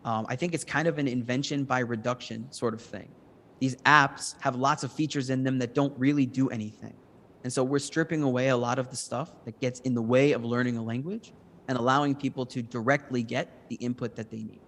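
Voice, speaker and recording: A man's voice: medium pitch, fairly bright tone. A man answering judges' questions as a start-up presenter, speaking in an explanatory, assured manner. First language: English. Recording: long hall reverb.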